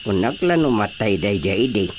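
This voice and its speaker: A man acts out an older Ilocano father in a radio comedy, chatty and grumbling. His pitch swings widely as he tells mocking anecdotes. There is faint noise with crickets behind him. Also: animated, brisk, close to an everyday voice. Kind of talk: radio comedy drama acting.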